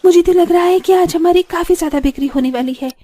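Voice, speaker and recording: Very high-pitched earnest village daughter-in-law acted by a woman. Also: quick pace, warm tone. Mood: excited. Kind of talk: cartoon story dialogue.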